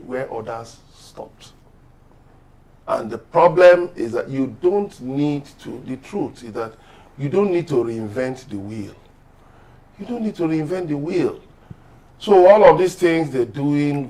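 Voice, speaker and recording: A Nigerian-accented man argues in a political debate. He presses his case emphatically, repeating himself and throwing out rhetorical questions as a guest commentator. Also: mid-range voice.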